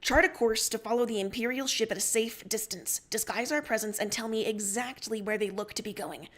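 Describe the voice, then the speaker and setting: A woman's voice: a little high, a little fast, lightly acted. Woman acting an urgent pirate captain. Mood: commanding, urgent. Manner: clipped orders, hushed gasps when alarmed. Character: commanding pirate ship captain, urgent and shaken by betrayal.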